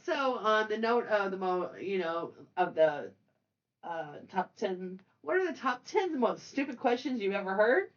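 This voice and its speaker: A woman hosting a casual film discussion, speaking haltingly and often repeating and circling back over her words. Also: medium pitch, animated, lo-fi sound. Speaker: podcast host.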